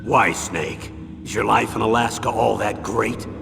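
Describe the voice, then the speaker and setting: An actor playing a stern, seasoned army colonel in a video game, giving a briefing in a measured, authoritative and formal way. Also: male voice, fairly low pitch, gravelly. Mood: firm, pressing. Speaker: military commander briefing an agent. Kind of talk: scripted video game voice acting, mission briefing. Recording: long reverb, music behind.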